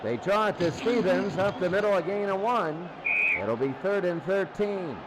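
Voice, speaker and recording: High-pitched male football play-by-play announcer. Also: animated, moderate pace. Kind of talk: live football play-by-play commentary. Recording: loud crowd noise behind voice. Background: loud stadium crowd.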